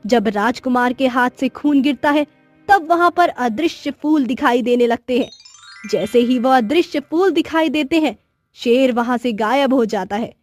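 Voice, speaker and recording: Woman, high voice, cartoon story narrator, scripted, faint background music. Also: no room sound.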